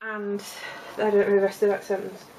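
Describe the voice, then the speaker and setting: A woman's voice: mid-range voice, monotone, fairly quick, creaky, loud music behind the voice, poor audio. A woman book vlogger presenting and reviewing a fantasy book on camera. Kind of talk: book vlog with dramatic readings.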